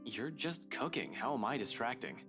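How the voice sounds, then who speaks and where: An actor playing a weary, worried young man with a husky voice in a visual novel game. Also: mid-range voice, sing-song, normal speed, over music. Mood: dryly indignant.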